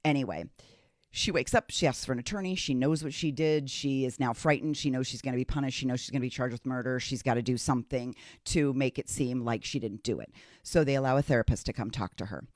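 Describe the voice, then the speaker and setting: A man's voice: medium pitch, quick pace, no echo, clean studio sound. A man giving casual, opinionated true crime commentary in an emphatic, conversational way, repeating phrases for stress.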